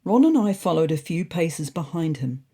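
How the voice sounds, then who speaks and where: A narrator reading a scripted first-person animal story in an expressive, wryly dramatic way. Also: medium pitch, moderate pace, husky.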